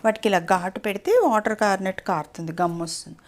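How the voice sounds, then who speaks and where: A woman's voice: medium pitch, fast talker. A woman telling a story to a child in a simple, explanatory way, often asking questions.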